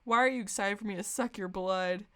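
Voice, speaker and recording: A woman's voice: mid-range voice, a little fast, bright, forceful tone, smooth, lightly acted. A young male vampire from a parody story, put on by a woman reading aloud. He is stern and seething. His threats come out overdramatic and exasperated.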